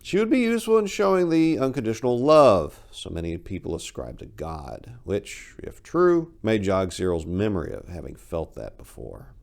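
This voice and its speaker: A man reading his own short story aloud as a dramatic, expressive narration of literary horror. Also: lowish voice, normal speed, gravelly, few pauses.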